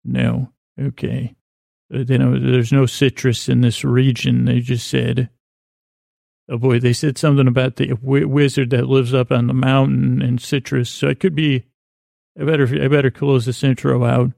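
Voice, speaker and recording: Creaky-voiced man rambling through a bedtime podcast. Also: mid-range voice, level tone, mellow, no echo, studio quality. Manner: meandering, halting, trails off mid-sentence. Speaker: podcast host.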